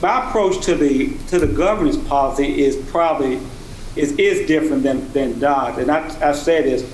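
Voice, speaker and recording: Male board member, higher-pitched, halting and self-correcting, unscripted meeting remarks in an echoey room. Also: darkish tone, slight background noise. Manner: halting, repeats and rephrases.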